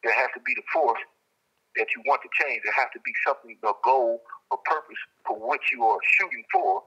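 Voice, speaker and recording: A man's voice: medium pitch, expressive, fairly quick, pushed and bright, slightly rough. A man being interviewed over a telephone line, explaining his reflections emphatically and repeating phrases. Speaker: interviewed guest, prison inmate calling.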